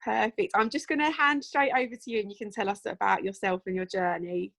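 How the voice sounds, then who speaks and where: A woman's voice: mid-range voice, fairly even intonation, a little fast, vocal fry, a bit bright. A woman hosting an online business presentation, effusive and friendly as she introduces the speakers. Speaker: host.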